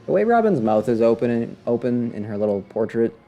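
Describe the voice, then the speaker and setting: A man's voice: mid-range voice, sing-song, fairly quick, dark tone, highs cut off. A man giving casual live commentary as a game streamer, in a wry, offhand, joking way. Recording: game music under the voice. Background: game music.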